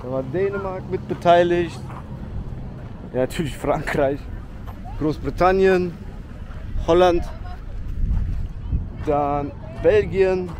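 Excitable young man vlogging outdoors. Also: high-pitched, slow, pauses often, a little hiss or hum, low-quality recording. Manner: chatty, excitable, slangy address to viewers. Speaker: travel vlogger. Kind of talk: casual travel vlog. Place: outdoors by a beach and memorial. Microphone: handheld camera at arm's length.

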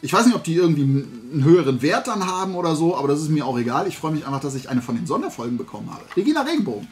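Casting: middle-aged male vlogger, fairly high voice, rambling enthusiast, music underneath. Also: very animated, racing, a little mellow. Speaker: YouTube host and collector. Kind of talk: casual haul vlog chat. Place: indoor room full of shelved toys.

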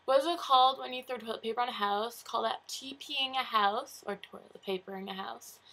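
A woman's voice: on the high side, pushed and bright. A young woman with a southern Ontario Canadian accent, chatting casually on a webcam in her bedroom and filling her talk with "like". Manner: chatty, lots of "like" fillers, giggly. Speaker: vlogger. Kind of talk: casual accent tag video. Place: bedroom, indoors. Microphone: webcam at close range.

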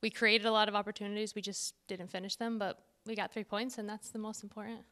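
A young woman, a player giving a relaxed post-match interview, with frequent "um" and "I mean". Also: medium pitch, a little fast. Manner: relaxed, filler-laced. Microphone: handheld mic held by interviewer.

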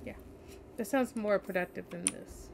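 A woman's voice: mid-range voice, moderate pace, gravelly. A woman game streamer giving casual let's play commentary and reading dialogue aloud. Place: indoor streaming setup. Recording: faint background game noise.

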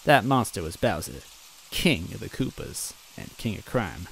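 A man's voice: fairly low pitch, big pitch swings, a little slow, fairly bright tone, slight background noise, rough recording. Male reader, chatty and rambling, faint rain behind. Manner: casual, rambling, clears throat often. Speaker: reader and narrator. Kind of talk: reading a fan story aloud with chatty asides.

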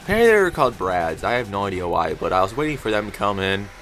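A man giving a casual craft showcase in an informal, halting, rambling way. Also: fairly low pitch, very animated, fairly bright tone. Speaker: craft video creator showing a homemade puppet. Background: background music.

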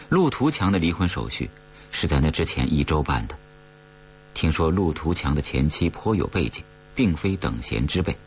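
A man reading an audiobook novel in a measured, dramatic storytelling style that sometimes grows hushed. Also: very deep voice, highs cut off, a little hiss or hum. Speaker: audiobook narrator. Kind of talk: dramatic scripted horror-novel narration.

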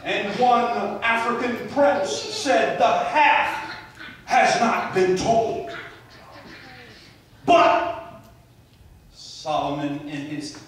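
A man in his forties from Barbados, preaching a sermon with a handheld mic, moving from soft storytelling asides to loud emphatic peaks. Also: high voice, very animated, very slow, long pauses, recorded from a distance, slight background noise. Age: 40s. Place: church sanctuary. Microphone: handheld mic, far camera. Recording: echoey church, captured from afar.

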